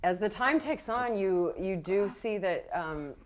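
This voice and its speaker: A woman's voice: mid-range voice, lively intonation, a little slow, a little hiss or hum. A woman game master narrating and explaining rules in an improvised, playful and encouraging way.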